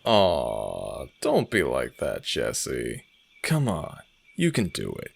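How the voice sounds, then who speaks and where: A man playing a deep, gravelly, cocky werewolf alpha. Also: huge pitch swings, full character voice. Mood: mocking, teasing. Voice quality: low, gravelly. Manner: slow, teasing, theatrical. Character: cocky, flirtatious male werewolf alpha, teasing and smug. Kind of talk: romantic audio roleplay acting.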